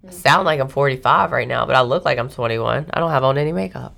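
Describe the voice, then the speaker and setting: A woman's voice: extremely low pitch, quick pace, creaky, fairly bright tone. A woman hosting a radio show in a studio, chatting bluntly and teasing her co-hosts, laughing often. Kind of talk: casual radio banter.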